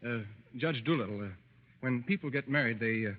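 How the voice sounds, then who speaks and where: A man's voice: medium pitch, a little fast, lightly acted. An actor playing a nervous, sheepish young newlywed man in old-time radio style, hesitant and stammering. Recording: muffled, faintly noisy vintage radio recording.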